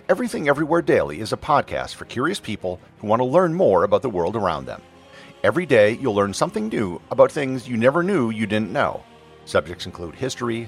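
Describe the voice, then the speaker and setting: Husky gravelly guy doing a podcast promo, lively pitch, faint music. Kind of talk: scripted promo narration.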